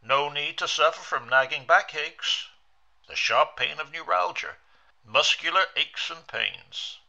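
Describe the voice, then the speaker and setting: A man's voice: on the high side, lively intonation, measured pace, vocal fry, pushed and bright, exaggerated voice. A man voicing an eerie radio advertising voice with slick salesman patter in a horror story. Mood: emphatic selling. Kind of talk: old radio commercial.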